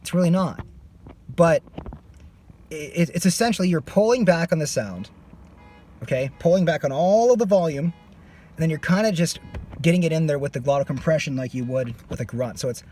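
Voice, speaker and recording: A man's voice: fairly high pitch, expressive, fairly quick, long pauses, mellow. A man teaching a singing lesson. He is losing his voice and stops his explanation to demonstrate screams. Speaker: vocal coach.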